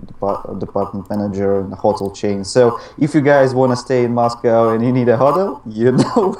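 A man's voice: mid-range voice, moderate pace, a little mellow. A man in his twenties with a Russian accent, answering interview questions in a hesitant, easygoing way. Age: 20s. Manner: hesitant, laughs between phrases. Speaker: interview guest, YouTuber. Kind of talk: casual online interview.

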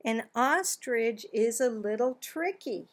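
A woman in her sixties reads a children's storybook aloud. Her voice sits a little high and she takes her time, very slowly. She phrases expressively, drawing out words and leaving pauses. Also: animated. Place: small home office, indoors.

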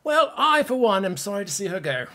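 A man's voice: high voice, big pitch swings, brisk, pushed and bright, heavily acted. An actor playing a vain, washed-up middle-aged male pop star in a comedy podcast, with a theatrical, pompous delivery. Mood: flippant. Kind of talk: audio comedy acting.

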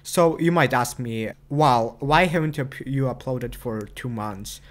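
A teenage boy from Ukraine with a Ukrainian accent, talking earnestly and haltingly in a casual vlog over gameplay. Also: mid-range voice. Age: teens. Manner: earnest, halting, many restarts. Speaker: gaming channel host.

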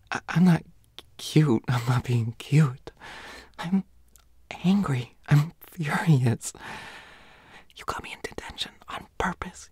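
A young woman acting as a flustered, pouty teenage schoolboy in an intimate roleplay audio, stammering and often hushed to a whisper, indignant but secretly smitten. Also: low-pitched, breathy edge, no echo, clean studio sound, exaggerated voice.

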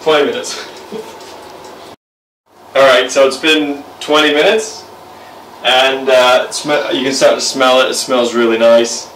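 A man's voice: mid-range voice, steady tone, a little slow, pauses often, a bit bright, a little hiss or hum. A young man casually talking through cooking a roast in his home kitchen, rambling with lots of fillers. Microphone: camera mic from across the room. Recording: roomy, distant camera sound.

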